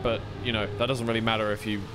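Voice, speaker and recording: A man's voice: on the low side, level tone, fast. A man giving live esports racing commentary in a conversational way, often second-guessing himself. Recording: clear background noise of vehicle sounds.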